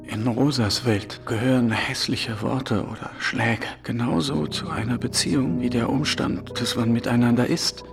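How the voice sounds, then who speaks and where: An actor playing a guilt-ridden lover in a German radio drama, giving a sober, introspective inner monologue. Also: male voice, medium pitch, fairly even intonation, measured pace, gravelly, few pauses. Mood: brooding. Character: guilt-ridden lover carrying a terrible secret. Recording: music under the voice.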